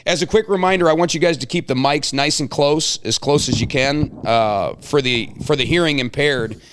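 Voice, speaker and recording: Middle-aged American man hosting, slightly higher-pitched. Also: moderate pace, a bit bright, slight background noise. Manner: joking, folksy banter. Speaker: show host interviewing guests. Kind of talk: casual chatty interview.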